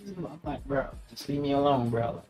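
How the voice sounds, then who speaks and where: A man's voice: mid-range voice, normal speed, darkish tone. A man chatting casually in an interview, laid-back and slangy, laughing often and filling with "you know what I mean.". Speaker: rapper guest.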